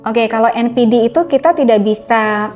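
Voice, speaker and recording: A woman's voice: on the high side, normal speed, a little mellow, muffled recording. Middle-aged lady telling her story in an interview, earnest n explaining, careful phrasing, bit of music behind. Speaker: interview guest; author. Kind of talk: personal testimony in an interview.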